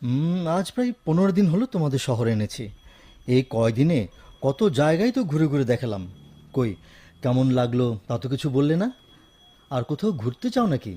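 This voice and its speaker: A man playing a gentle, dutiful son and indulgent father in a Bengali audio drama, soft-hearted and respectful, with a Bangladeshi accent. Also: mid-range voice, big pitch swings, brisk. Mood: fond.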